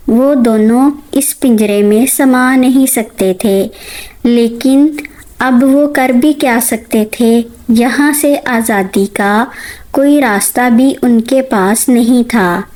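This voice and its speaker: A woman narrates a children's story as a storyteller. Her voice is on the high side. She takes the scripted lines at a very slow pace. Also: a little mellow, rough recording.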